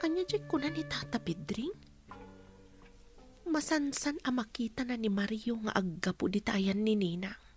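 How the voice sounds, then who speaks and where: An actress plays an earnest young village wife in a radio drama. Her voice is fairly high, with an Ilocano accent from the Philippines. Soft music sits under her worried, sincere delivery. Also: moderate pace, lightly acted. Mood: troubled, suspicious. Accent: Filipino, Ilocano. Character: earnest young wife, a farm-produce seller troubled by suspicion.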